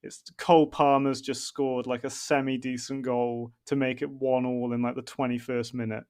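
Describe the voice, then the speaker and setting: A man's voice: medium pitch, little pitch movement, moderate pace, a little mellow, clear, resonant tone. A man hosting a podcast in casual chat, ranting comically in a sweary, exasperated way. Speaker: podcast host.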